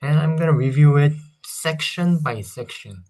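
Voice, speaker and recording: A man's voice: fairly high pitch, lively intonation, normal speed, a little mellow. A man in his thirties with a Korean accent, speaking English as a second language and rambling casually through a console review, often hesitating and correcting himself. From South Korea. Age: 30s. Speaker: vlogger.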